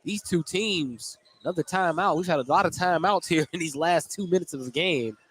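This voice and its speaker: A man with a fairly high voice works as a basketball play-by-play announcer. He delivers live radio commentary, following the game as it happens. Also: normal speed, a bit bright, husky. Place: courtside in a gymnasium.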